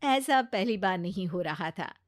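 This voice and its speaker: A woman narrating a moral story in an expressive, scripted storytelling style. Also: mid-range voice, measured pace, no echo, clean studio sound.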